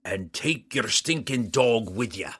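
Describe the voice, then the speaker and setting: A man voicing a gruff, proud old male dwarf who talks loud and slow. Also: mid-range voice, lively intonation, heavily acted. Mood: roaring.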